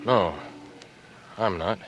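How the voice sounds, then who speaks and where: An actor playing a cocky, suspicious young blackmailer in an old American radio drama, talking in a terse, wary way. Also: male voice, lowish voice, drawn-out words, fairly bright tone, dull audio. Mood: suspicious, curt. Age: young adult. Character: cagey, brash young small-time blackmailer, suspicious and cocky. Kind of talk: 1940s radio drama acting. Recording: noisy vintage radio broadcast recording.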